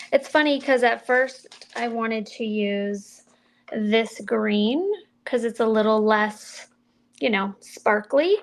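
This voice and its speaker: A woman with a slightly high, gravelly voice talks slowly and mildly as she demonstrates card-making on a stream. She thinks aloud in a casual, chatty way as she works. Faint clicks from her tools can be heard behind her. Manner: chatty, mild and soft-spoken, thinking aloud while working. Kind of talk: casual crafting livestream chat.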